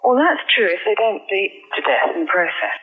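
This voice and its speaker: A woman interviewed as an expert over the phone, explaining in a precise, matter-of-fact way and gently correcting. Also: mid-range voice, very animated, brisk, husky, phone-quality sound. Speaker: museum insect expert.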